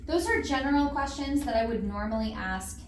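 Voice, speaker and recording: A woman's voice: fairly high pitch, animated, fairly quick. A woman interviewing a job candidate conversationally, often agreeing and affirming what she hears. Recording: roomy echo, distant mic, faint noise.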